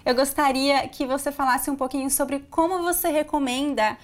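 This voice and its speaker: A Brazilian woman with a Brazilian Portuguese accent hosts an interview. Her voice is a little higher than most. She speaks fluently and attentively, and often murmurs short agreements while her guest talks. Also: fairly even intonation, moderate pace. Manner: fluent, attentive, with frequent soft backchannel. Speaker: host, Portuguese teacher. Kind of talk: interview hosting.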